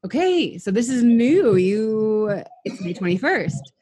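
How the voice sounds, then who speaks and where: A woman's voice: fairly high pitch, very animated, warm tone, a little hiss or hum. Chatty woman in her thirties interviewing. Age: 30s. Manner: chatty, upbeat, quick follow-up questions. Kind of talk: casual interview.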